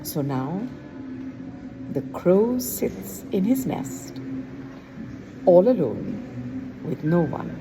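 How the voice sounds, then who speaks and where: Woman teacher, lowish voice, expressive children's read-aloud narration. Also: background noise. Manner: expressive, slow storybook reading with lively pitch. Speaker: teacher reading to a class.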